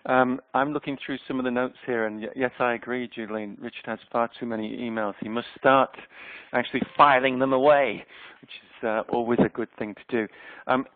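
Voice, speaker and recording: A man's voice: medium pitch, steady tone, brisk, no room sound. A man in his fifties from Yorkshire, with a Yorkshire accent, giving an online presentation in a conversational way with frequent ums. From Yorkshire, England. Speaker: webinar presenter. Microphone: webinar microphone. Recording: dry, tinny phone-like webinar audio.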